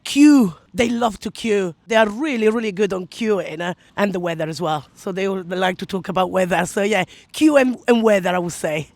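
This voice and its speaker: Gravelly, jokey man from Italy. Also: high-pitched, normal speed, few pauses, no room sound. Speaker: interviewee. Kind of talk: casual street interview.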